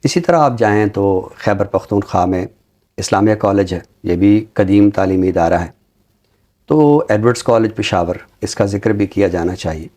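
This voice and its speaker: Mellow, low-voiced Pakistani man. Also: lively intonation. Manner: formal, deliberate word choice. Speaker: talk show host, university teacher. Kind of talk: conversational literary commentary.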